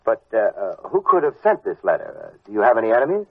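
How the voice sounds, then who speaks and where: A man's voice: fairly low pitch, sing-song, fast, slightly rough, dry recording. An American actor playing a wry, easygoing private detective in an old-time radio drama, casual and a little hesitant as he talks and narrates. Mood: concerned, insistent. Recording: muffled vintage radio recording with music.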